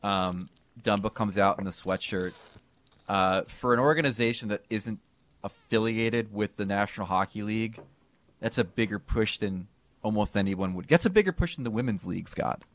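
Low-voiced man talking hockey. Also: normal speed. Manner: animated, emphatic delivery with bursts of loudness. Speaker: podcast co-host. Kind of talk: casual sports talk.

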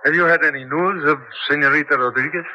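An actor playing a wry newspaper editor in a 1970s radio drama. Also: male voice, a little high, steady tone, quick pace, lightly acted. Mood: wry, sardonic. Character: wry, sardonic Latin American male newspaper editor. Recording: muffled old radio, faint noise.

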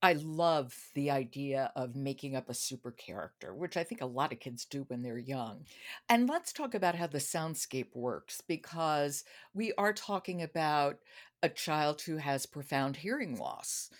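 A woman's voice: deep voice, expressive, a little slow. A woman hosting a podcast, interviewing in a conversational way. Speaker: podcast host.

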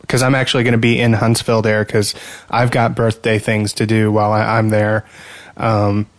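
A man's voice: on the low side, dry recording. A man co-hosting a podcast, bantering casually and teasing his friends. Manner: joking, teasing. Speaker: podcast co-host.

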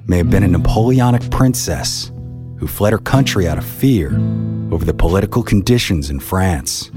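A man narrating a scripted historical tale in a measured, solemn manner. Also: sits low. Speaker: narrator, podcast host.

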